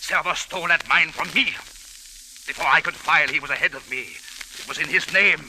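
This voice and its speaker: An actor playing a menacing foreign killer obsessed with gold, speaking formally and deliberately in an old radio drama. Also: male voice, on the high side, pushed and bright. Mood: bitter, angry. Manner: formal, deliberate, taunting phrasing. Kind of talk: 1940s-50s radio drama acting. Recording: hissy, crackly old radio recording.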